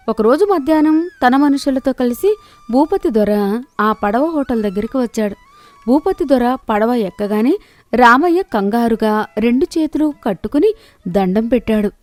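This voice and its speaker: A woman narrating a children's moral story with a steady, clear delivery. Her voice sits fairly high. The recording is dry and close, with faint background music. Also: no room sound.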